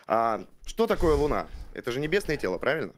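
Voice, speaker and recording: A man in his thirties talks in a quick, animated way, his pitch moving a lot. He keeps stumbling into fillers while playing the gallant suitor. He sits at a studio mic in a dim room lit in purple. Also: slight background noise. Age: 30s. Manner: hesitant, full of fillers, mock-gallant. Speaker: show contestant flirting with a guest. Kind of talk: playful mock-romantic chat on a stream. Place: streaming room with purple lights. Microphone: studio mic on arm, headphones.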